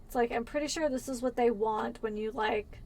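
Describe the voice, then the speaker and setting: A woman's voice: a little high, brisk, darkish tone. A woman with a Californian accent streams a game and chats as she plays. Her voice sits a little above the usual female range. She talks in a loose, rambling way and strings thoughts together with easy asides. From Pennsylvania. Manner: chatty and rambling. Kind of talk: casual gameplay chat.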